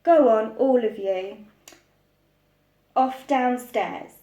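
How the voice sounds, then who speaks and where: A woman's voice: fairly high pitch, drawn-out words, dark tone, lo-fi sound. A woman voicing a weary, exasperated mother in a children's storybook, firm and put-upon, in an echoey room. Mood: exasperated, resigned.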